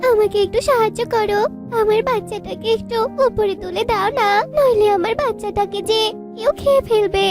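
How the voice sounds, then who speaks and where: A woman's voice: very high-pitched, normal speed, music in the background. A woman voicing an anxious little mother tailorbird in a children's cartoon, pleading and plaintive.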